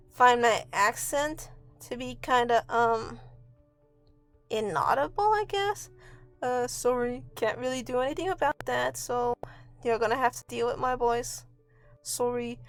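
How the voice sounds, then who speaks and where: A woman from Mexico, giving a casual, rambling voice-over in an offhand, self-deprecating, sweary way. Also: on the high side. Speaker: video artist and host. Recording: amateur home recording with music underneath. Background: music.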